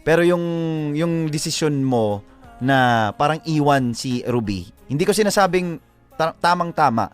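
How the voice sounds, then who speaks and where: Higher-pitched Filipino man over background music. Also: expressive, unhurried. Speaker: radio show co-host giving advice to letter senders. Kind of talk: casual live chat and love advice.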